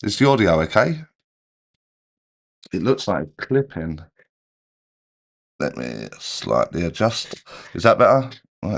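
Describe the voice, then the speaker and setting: Male live-stream host with a deep voice, congested with a cold, casual and unhurried. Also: creaky. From United Kingdom. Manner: relaxed, rambling, checks audio as he goes. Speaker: medium hosting a live Q&A.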